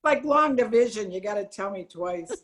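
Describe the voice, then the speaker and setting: An older woman talks casually from a video call as one of the class. Her voice is medium in pitch, and she speaks in an everyday conversational way. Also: animated, moderate pace. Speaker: class participant. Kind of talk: casual chat.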